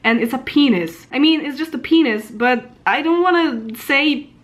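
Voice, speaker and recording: A woman's voice: sits high, expressive, normal speed, a bit bright. A woman in her twenties with a Polish accent, chatting informally and self-deprecatingly as she muses aloud. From Poland. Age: 20s. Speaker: vlogger. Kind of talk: casual chat about pronunciation.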